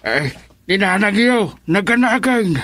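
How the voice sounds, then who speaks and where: Casting: a wounded, reproachful old grandfather for radio drama, husky and fairly high-pitched. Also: lively intonation, unhurried, fairly bright tone, no room sound, exaggerated voice. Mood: stern, urgent. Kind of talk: emotional radio drama acting.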